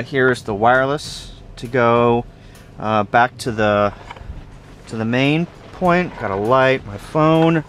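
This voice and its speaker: A man's voice: mid-range voice, slight background noise. A man narrating and giving a tour as a radio contest operator in his own video. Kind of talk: scripted narration and casual on-site tour.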